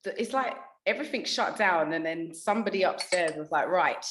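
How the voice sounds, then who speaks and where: A woman's voice: fairly low pitch, brisk, roomy sound, slight background noise. A young woman from England, a radio presenter, chatting candidly and telling stories with plenty of swearing. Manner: candid, animated storytelling with swearing and laughter. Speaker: radio presenter, podcast guest. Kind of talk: casual chat.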